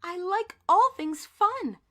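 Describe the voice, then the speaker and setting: A sunny, fun-loving young pumpkin boy chatters away in a storybook read-aloud, as a woman voices him. His voice sits very high and swoops up and down with a bright, sparkling edge. He sounds bubbly and upbeat throughout. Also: expressive, takes it slowly, soft background music, exaggerated voice. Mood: determined. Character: cheerful, friendly young male pumpkin.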